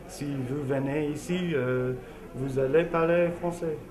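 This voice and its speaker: Slow American man with chatter behind him. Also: a little high. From Chicago, United States. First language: English. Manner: halting, deliberate. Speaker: language student. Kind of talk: spoken testimonial. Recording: roomy, with background chatter.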